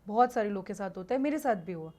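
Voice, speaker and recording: A woman's voice: medium pitch, lively intonation, quick pace, mellow. A woman who is a guest on a podcast, giving her opinions in casual conversation, emphatic and fond of repeating words to stress them.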